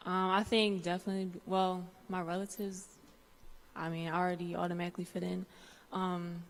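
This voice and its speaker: Young woman, flat low delivery, hesitant, hall reverb. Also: normal speed. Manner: hesitant, starts with "um". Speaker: interviewee.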